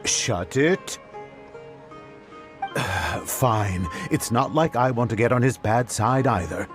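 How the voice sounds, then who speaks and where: Male porter, medium pitch, animated, casual, good-natured grumbler, game dialogue. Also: takes it slowly. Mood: defensive, grumbling. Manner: casual, down-to-earth. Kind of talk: video game voice acting. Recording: background music.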